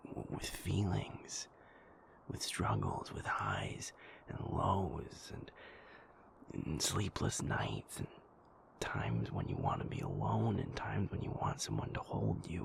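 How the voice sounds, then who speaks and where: A man playing a tender, protective werewolf partner in an intimate ASMR roleplay, speaking gently and haltingly in a breathy, gravelly near-whisper. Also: sits low, full character voice. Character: tender, protective male werewolf partner comforting a sleepless lover.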